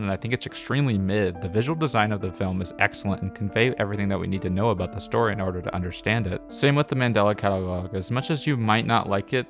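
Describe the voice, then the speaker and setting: A man narrating a scripted, opinionated video essay in a blunt, emphatic, critical manner. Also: on the low side. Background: background music.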